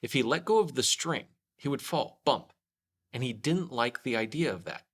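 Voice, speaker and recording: Bright-voiced male storyteller. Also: mid-range voice, lively intonation, normal speed. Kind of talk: children's story read-aloud, scripted narration.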